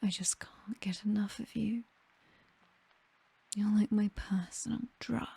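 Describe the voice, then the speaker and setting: A woman with a British accent playing a possessive, seductive vampire mistress in a whispered, breathy ASMR roleplay, doting yet condescending and coolly menacing. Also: medium pitch, fairly even intonation, measured pace, mellow, dry recording, exaggerated voice.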